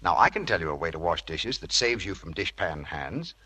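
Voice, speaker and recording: A man announcing a 1940s radio commercial in an emphatic, salesmanlike way with a husky voice. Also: deep voice, sing-song, a little fast, sharp tone. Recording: old radio broadcast recording.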